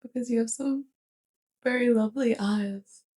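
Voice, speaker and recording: A woman playing a sweet, curious, awkward alien roommate with a crush, speaking gently and haltingly in a soft ASMR roleplay. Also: on the high side, lightly acted. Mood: fond, earnest. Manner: gentle, hesitant, halting delivery.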